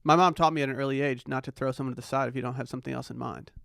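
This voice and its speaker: A man's voice: medium pitch, steady tone, racing, creaky, fairly bright tone, no echo, clean studio sound. A young American man chatting casually as a podcast co-host. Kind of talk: casual chat.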